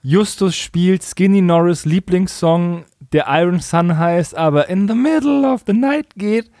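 A man's voice: high voice, a little slow, warm tone, dry recording, clean studio sound. A man co-hosting a casual comedic recap podcast, slangy and mockingly acting out quoted dialogue. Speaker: podcast co-host.

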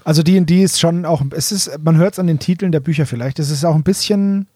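A man's voice: on the high side, fast talker, mellow, no room sound, studio quality. A man co-hosting a podcast in a casual, conversational style. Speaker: podcast co-host.

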